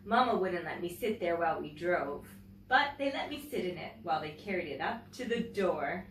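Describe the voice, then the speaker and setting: A woman reads a children's story with very lively, swooping intonation at a medium pitch. She sounds like a teacher, shaping phrases expressively and speaking directly to young listeners. The recording is roomy and a little distant from the mic. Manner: expressive, pausing to comment and ask young listeners questions. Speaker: teacher and story-time host. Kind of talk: read-aloud picture book storytelling for children. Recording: roomy, distant mic, faint background noise.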